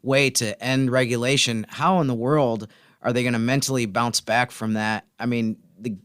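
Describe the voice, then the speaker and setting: A man with a clear, mid-pitched voice co-hosts a casual sports discussion. The sound is dry and close, with no room around it. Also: fairly even intonation, moderate pace, a bit bright, dry recording. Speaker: podcast co-host, sports reporter.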